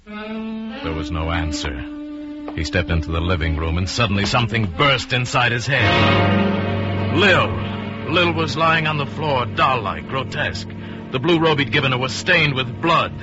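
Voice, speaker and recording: A man's voice: medium pitch, big pitch swings, unhurried. An American actor giving a dramatic radio suspense performance as a storyteller and an anguished, scheming man in love. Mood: anguished, pleading. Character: anguished scheming murderer, a lovestruck man telling his downfall. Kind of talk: 1950s radio suspense acting, dramatic narration. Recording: muffled vintage radio recording, music underneath.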